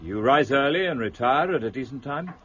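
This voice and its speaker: An actor playing a fussy, eccentric gentleman of reduced means in a period radio drama, brusque and precise with formal diction. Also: mid-range voice, lively intonation, a little fast, muffled recording, a little hiss or hum, close to an everyday voice. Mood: probing, amused.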